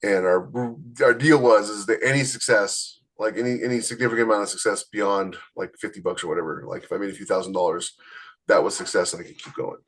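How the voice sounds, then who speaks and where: A man's voice: lowish voice, fast, a bit bright. Blunt, emphatic man giving advice. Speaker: podcast co-host and author. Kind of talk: casual chat giving writing advice.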